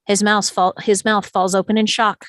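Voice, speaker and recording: A woman narrates screenplay stage directions at a table read. She is close on the mic in a very dry space, with a mid-pitched voice. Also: level tone, moderate pace, a bit bright, no echo.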